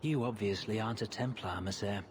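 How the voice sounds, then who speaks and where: A man's voice: fairly low pitch, brisk, lightly acted. Uneasy dutiful game knight guy, voiced by an actor, careful, noisy ambience behind him. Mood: guarded. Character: cautious, dutiful male knight recruit. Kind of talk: video game dialogue acting.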